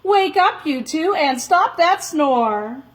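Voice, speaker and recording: Woman voicing an insistent, high-pitched storybook boy. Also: expressive. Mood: insistent, exasperated. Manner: loud, commanding, drawn-out calls. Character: Jack, insistent sleepless boy rousing his sleepers. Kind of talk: children's storybook character voice.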